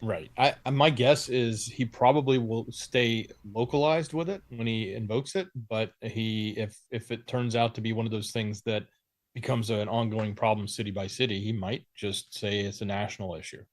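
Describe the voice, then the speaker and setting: A man with a fairly low voice co-hosts a news show. He explains and analyzes stories informally, as if in conversation, and the sound is tight and free of echo. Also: fairly even intonation, moderate pace.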